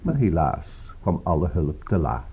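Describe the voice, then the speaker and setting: A man's voice: extremely low pitch, sing-song, warm tone, slightly rough, full character voice. An actor playing a genteel, courteous but evasive male host in a radio drama, speaking politely and urbanely. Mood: regretful, evasive. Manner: polite, urbane, unhurried small talk.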